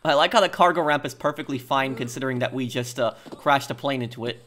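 A man's voice: medium pitch, fast talker, fairly bright tone. A man giving casual, musing gameplay commentary as he plays, speaking a little hesitantly. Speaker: gamer presenting a playthrough. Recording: commentary over noisy game audio. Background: game vehicle and aircraft sounds.

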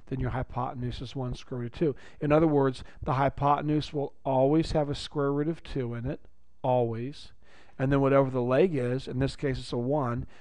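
A man with a raspy voice teaching a geometry lesson, upbeat and chatty, repeating key phrases for his students. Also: mid-range voice, a little mellow, dry recording. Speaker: math teacher. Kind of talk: recorded classroom lecture.

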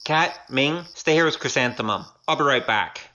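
A woman's voice: extremely low pitch, animated, moderate pace, highs cut off. A woman acting in an audio drama as a glib young male gambler, wry and evasive, with hesitant patter. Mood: terse, urgent.

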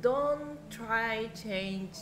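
Guest: bright, high-voiced Korean woman in her thirties, giggles through halting English. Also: roomy sound, a little hiss or hum. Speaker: guest, YouTuber. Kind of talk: casual chat. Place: studio set with shelves. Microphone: studio mic on stand.

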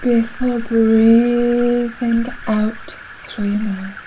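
A woman leading a guided breathing meditation in a soothing, measured, soft and breathy voice that nears a whisper at times. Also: on the high side, level tone, slight background noise, low-quality recording. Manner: soothing, measured, unhurried instruction.

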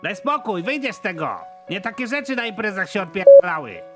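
A sardonic cartoon sidekick, voiced by a man speaks in a high, dry voice and pokes fun at his partner. Soft music sits underneath. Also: sing-song, fairly quick. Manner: dry, mocking. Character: young male kidnapper, sidekick, sardonic.

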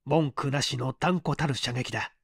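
An audiobook reader giving a measured, dramatic narration of literary fiction. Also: medium pitch, vocal fry, slightly rough, no room sound, clean studio sound.

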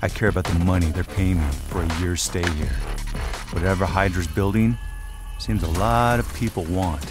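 Guy doing a cold cocky sniper guy narrating a comic, low voice, terse n cynical, loud music under it. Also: moderate pace, lightly acted. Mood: cold, boastful. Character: hard-boiled Hydra sniper, cocky cold-blooded male killer. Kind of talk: first-person scripted narration of a motion comic.

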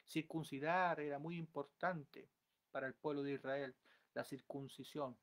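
A man in his thirties preaching an earnest, exhorting sermon to a webcam in a small room at home, building to forceful pleas. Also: fairly high pitch, steady tone, unhurried. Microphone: webcam at close range.

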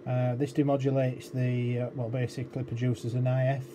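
A man's voice: medium pitch, flat delivery, moderate pace. A man giving an informal technical walkthrough as a repair demonstrator, explaining hesitantly and thinking aloud. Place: indoor electronics workbench. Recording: clearly noisy workshop room recording.

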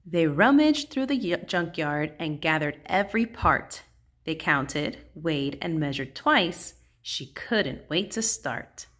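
Woman, lowish pitch, very animated intonation, sing-song rhyming storybook read-aloud. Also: slow pace. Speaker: children's book read-aloud host. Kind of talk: expressive picture-book read-aloud in rhyme.

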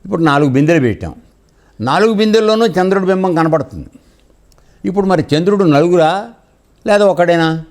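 A male spiritual teacher speaks in a somewhat high voice. He explains teachings conversationally, as in a discourse with parables, and puts frequent questions to his listeners to check they understand. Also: lively intonation, brisk, pauses often, a little mellow.

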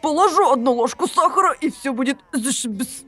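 A woman voicing a calm, macho male hero, speaking deadpan in a lowered voice. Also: a little fast, soft background music.

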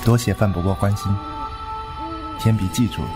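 A man playing a cold, proud young sect lord in a wuxia audio drama, measured and disdainful. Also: lowish voice, natural voice. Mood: composed. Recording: loud music under the voice.

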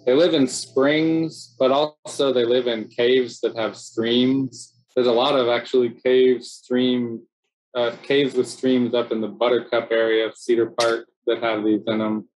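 A middle-aged man, presenting a slideshow in a relaxed, chatty way full of "so yeah" and "um", speaking over a video call from home. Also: medium pitch, a little slow, low-quality recording. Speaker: city cave biologist presenting. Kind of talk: casual narrated slideshow talk.

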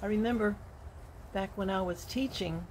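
A woman in her sixties, a retired teacher, sharing a gentle, earnest devotional while recording on her phone on an outdoor porch. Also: medium pitch, slight background noise, rough recording. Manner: slow, gentle and earnest, with soft laughs. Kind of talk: casual devotional walk-and-talk vlog. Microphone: phone held at arm's length.

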